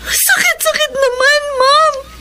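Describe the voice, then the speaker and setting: Woman doing a heartbroken school kid in a drama, super high plaintive voice. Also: steady tone, takes it slowly, pushed and bright, full character voice. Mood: hurt, tearful. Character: hurt young schoolchild, anguished over broken family. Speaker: actor in a drama. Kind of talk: melodramatic drama acting.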